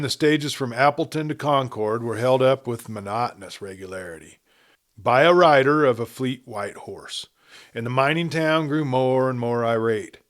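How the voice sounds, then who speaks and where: A man with a medium-pitched voice narrates a western tale like an audiobook storyteller. His delivery is measured and dramatic. He swells on emphatic phrases and lets the ends of sentences trail away.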